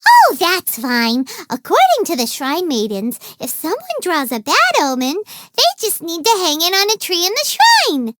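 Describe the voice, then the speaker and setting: A woman voicing a tiny childlike fairy companion in a video game, squeaky, bubbly, boastful and naive. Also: unhurried, hardly any pauses, exaggerated voice. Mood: excited, eager. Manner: refers to self in third person, exclamatory, excitable. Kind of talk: video game character voice lines.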